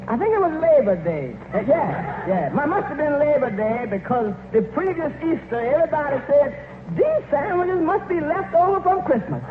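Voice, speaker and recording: An actor playing a wry tavern waiter on the witness stand in a 1940s radio comedy. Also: male voice, very high voice, sing-song, moderate pace. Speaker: supporting comic actor. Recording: echoey tinny noisy 1940s radio.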